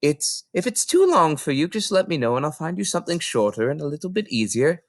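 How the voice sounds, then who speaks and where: A man's voice: on the high side, very animated, a little fast, dry recording, clean studio sound, pitched above the performer's own voice. A man voicing a kindly, helpful grown woman who works as a village librarian. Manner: gentle, helpful.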